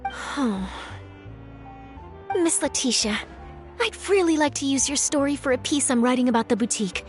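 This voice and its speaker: A woman voicing an earnest young reporter in a video game, gently persuading someone to go on the record. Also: high voice, lively intonation, moderate pace, faint music behind.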